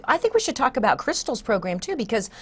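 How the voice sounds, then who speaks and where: A woman's voice: fairly high pitch, big pitch swings, fast, fairly bright tone, slightly rough. A woman in her sixties talking conversationally as the host of a casual interview show. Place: small TV studio, indoors.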